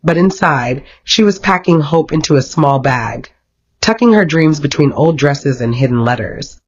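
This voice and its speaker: A storyteller narrates a romantic folktale from a script. The delivery is dramatic and expressive at a middling pitch. The recording sounds dull and lo-fi. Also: moderate pace.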